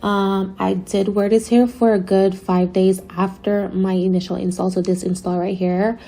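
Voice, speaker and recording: Raspy young woman, gravelly late-night voiceover, chatty and gushing. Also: medium pitch, level tone, moderate pace, dark tone, reverberant. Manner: chatty, gushing, says "you guys" often. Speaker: beauty vlogger. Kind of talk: casual product review voiceover. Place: home at night, sirens outside.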